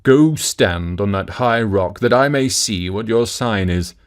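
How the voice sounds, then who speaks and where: Storybook lightning spirit, voiced by a man: slow, loud, commanding, drawn-out words. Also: lowish voice, lively intonation.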